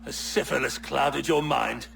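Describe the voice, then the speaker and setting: An actor playing a haughty, venomous navy commodore, pompous and sneering in a video game cutscene. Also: male voice, medium pitch, unhurried, gravelly, fairly bright tone. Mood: outraged contempt.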